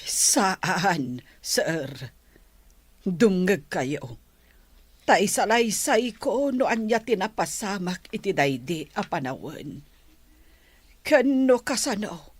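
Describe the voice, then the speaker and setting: Slow, husky, remorseful grandmother. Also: medium pitch, expressive, dry recording. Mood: sorrowful recounting. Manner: slow, halting, pleading. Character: remorseful older grandmother confessing a long-kept secret. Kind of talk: radio drama acting.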